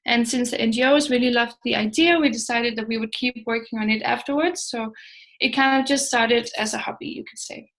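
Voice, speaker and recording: Danish woman w danish accent, native danish, highish voice, startup founder doing an informal slide talk, bit hesitant w pauses. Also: steady tone, a bit bright. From Denmark. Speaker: startup co-founder, guest presenter.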